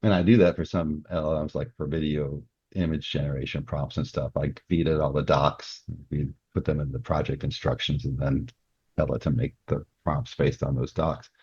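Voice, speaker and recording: A man's voice: extremely low pitch, fairly even intonation, normal speed, darkish tone, husky, dry recording, highs cut off. A man talking casually as a podcast guest, explaining his views earnestly with examples. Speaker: security company worker.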